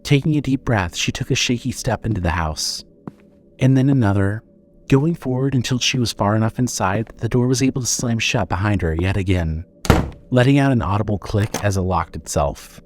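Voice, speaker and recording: A man narrating a scripted horror story as storyteller, measured and suspenseful. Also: lowish voice, slight background noise.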